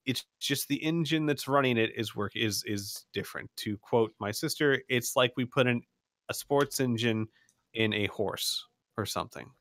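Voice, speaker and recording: A young man leads a tabletop session in a bright, lively tone, recorded close and dry. He rambles and backtracks cheerfully and laughs at his own slip-ups. His voice sits in an ordinary middle pitch. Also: moderate pace, no echo. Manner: rambling and self-deprecating, with many restarts and laughs. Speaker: game master and channel host. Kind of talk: casual chat, running a tabletop session.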